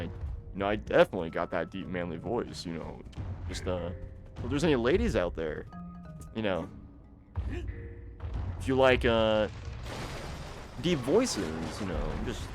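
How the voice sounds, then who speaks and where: A young man talks loosely while playing a game on a live stream, tripping over his first words and circling back to finish his thoughts. His voice sits at an ordinary middle pitch. Faint game music plays underneath. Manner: rambling, repeats words when starting sentences. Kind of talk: casual live-stream chat.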